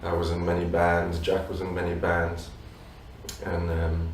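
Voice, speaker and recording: Brief: man, 30s, deep and even, reflective musician guest, roomy and off-mic. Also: unhurried, a little hiss or hum. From abroad, Polish parents. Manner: reflective, many "you know" fillers. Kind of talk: relaxed interview answers. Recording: roomy echo from a distance.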